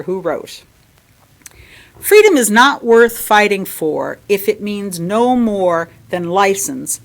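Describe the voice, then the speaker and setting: A woman reading a written sermon aloud in formal, measured phrases, with deliberate emphasis and pauses. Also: mid-range voice. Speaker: lay reader giving a sermon. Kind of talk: sermon read from a written text.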